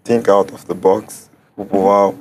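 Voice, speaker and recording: A man's voice: on the low side, level tone, a little slow, slight background noise. A man from Cameroon answering interview questions, hesitant and often repeating words as he thinks aloud. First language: German-speaking background. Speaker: interview guest, start-up founder.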